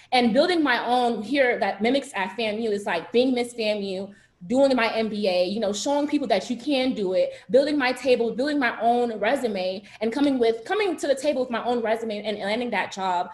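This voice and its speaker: Fast-talking young woman. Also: a little high, roomy sound. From southern United States. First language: Haitian Creole. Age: 20s. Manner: fast-talking, earnest, with many restarts. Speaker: panelist. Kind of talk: panel discussion remarks. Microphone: video call.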